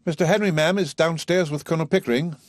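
A man's voice: fairly high pitch, fast talker, no room sound, voice pushed up, natural voice. A man doing a timid, polite parlour maid. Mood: deferential. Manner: polite, deferential.

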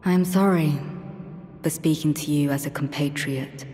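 An actress playing a devout female knight in a video game, courteous yet coldly menacing, speaking formal archaic lines in a measured, solemn way. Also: deep voice, warm tone, big-room sound. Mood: defiant, menacing.

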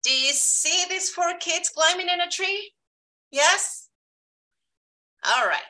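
A woman's voice: very high voice, slow pace, sharp tone. A Peruvian woman in her thirties, a biologist whose first language is Spanish, talking cheerfully to a class of children over a video call from her home. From Peru. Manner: cheerful, encouraging, addresses children directly. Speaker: tropical biologist guest speaker. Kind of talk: friendly virtual classroom talk to kids. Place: home room.

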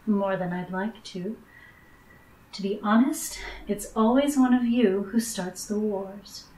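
A woman's voice: mid-range voice, slow, darkish tone, natural voice. A woman playing a sly, world-weary, teasing femme fatale in a noir radio drama, in an echoey room. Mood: weary, knowing. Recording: echoey room, distant microphone.

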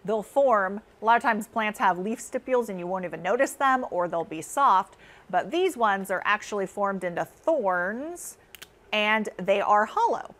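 A middle-aged American woman speaks at a medium pitch as an informal educator. She explains things conversationally and slips into expressive storytelling, as a presenter talking to camera would. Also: normal speed. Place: inside a greenhouse conservatory.

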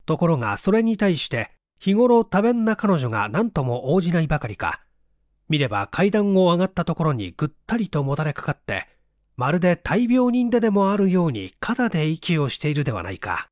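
A male audiobook reader delivers a suspenseful story in measured, theatrical phrases. His pitch moves widely, and the recording is close and dry. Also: big pitch swings, no echo. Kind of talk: scripted dramatic narration of a mystery novel.

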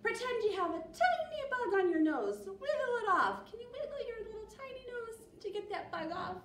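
An excitable little storybook bug, acted out by a woman reading aloud, bossy and teasing. The voice is very high and swoops around, heard in an echoey room. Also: fairly quick, distant mic, a little hiss or hum, heavily acted. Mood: excited, urging. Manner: commanding, teasing, playful.

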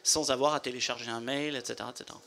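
A man's voice: mid-range voice, fairly even intonation, creaky, bright, forceful tone. Businesslike man at a trade fair booth. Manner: measured, explanatory, businesslike. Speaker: company founder. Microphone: handheld mic.

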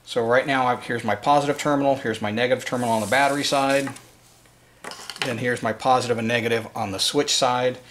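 A man with a mid-pitched voice presents a do-it-yourself tutorial indoors at a work table. He talks casually and without a script, explaining each step as he goes. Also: steady tone, fairly quick, fairly bright tone, slight background noise. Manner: informal, unscripted, explanatory. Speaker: presenter of a DIY wiring tutorial.

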